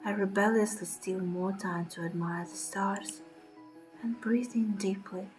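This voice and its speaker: A woman streamer reading horror game narration aloud in a dramatic, breathy storytelling way, with short reactions of her own. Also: medium pitch, fairly even intonation, measured pace, low-quality recording. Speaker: streamer playing a horror visual novel. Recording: background music under the voice.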